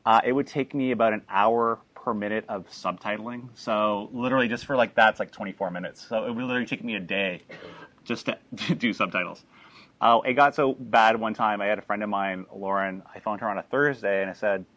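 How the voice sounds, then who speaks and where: A low-voiced man talks an audience through how he made his documentary. He rambles, with restarts and fillers. The recording is dull and lo-fi. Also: brisk, a bit bright, no room sound. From Canada. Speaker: filmmaker presenting his documentary. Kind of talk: talk to an audience after a screening.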